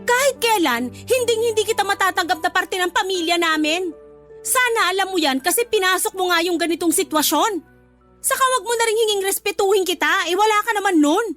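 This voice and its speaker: Shrill, furious, scolding older sister. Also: female voice, a little fast, a bit bright, faint music behind. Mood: indignant, scolding. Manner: scolding, combative, sarcastic. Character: hot-tempered, resentful, sharp-tongued older sister. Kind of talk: Tagalog radio drama acting.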